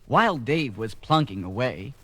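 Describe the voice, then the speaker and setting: A man's voice: medium pitch, sing-song, normal speed, sharp tone. A man narrating a scripted children's story.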